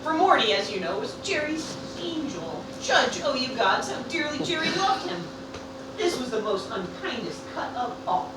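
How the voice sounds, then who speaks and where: A woman's voice: sits high, big pitch swings, normal speed. A woman playing an impassioned funeral orator in a declamatory amateur Shakespearean radio play. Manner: declamatory, theatrical. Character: impassioned funeral orator stirring a mourning crowd. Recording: very echoey, distant, noisy live room.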